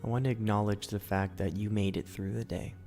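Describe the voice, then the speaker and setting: A man leading a guided sleep meditation as host, in a soothing, gentle, instructional manner. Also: on the low side, flat delivery, brisk, soft background music.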